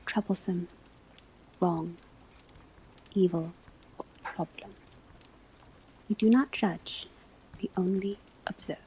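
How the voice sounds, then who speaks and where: A woman speaks as a calm teacher at a school of witchcraft in a soft ASMR roleplay. Her voice is very breathy and mellow, often slipping into a whisper. She takes her time, choosing formal, measured phrases with gentle strictness. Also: medium pitch, rough recording.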